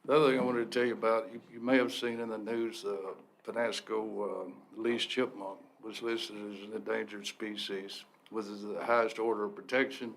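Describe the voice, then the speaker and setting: A man serving as a deputy forest supervisor, briefing a county commission in a steady, explanatory way with frequent "uh" pauses. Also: fairly low pitch, rough recording. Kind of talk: briefing at a public meeting. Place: county commission meeting room.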